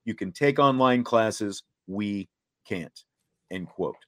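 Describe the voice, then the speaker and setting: A man hosting a sports talk show, reading and commenting in a deliberate way, laying out points step by step. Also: fairly low pitch.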